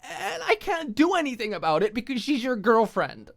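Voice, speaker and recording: A woman's voice: fairly high pitch, big pitch swings, moderate pace, gravelly, voice pushed up, exaggerated voice. A woman voicing a hurt, furious young woman in an audio drama, bitter and tense, her voice shaky with angry tears. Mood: furious, tearful. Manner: bitter, swearing, emotional outbursts.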